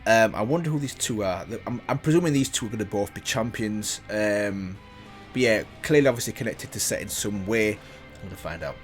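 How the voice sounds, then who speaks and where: Man, lowish pitch, casual reaction host, musing and curious, repeats himself, faint music behind. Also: a little fast. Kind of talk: casual off-the-cuff reaction commentary.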